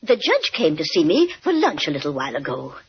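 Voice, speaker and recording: An actress playing a catty, sweetly gloating society woman with a husky, breathy voice, in 1950s radio drama style. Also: low-pitched, wildly swooping pitch, brisk, a little hiss or hum, heavily acted. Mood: sweetly malicious. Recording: muffled old radio broadcast.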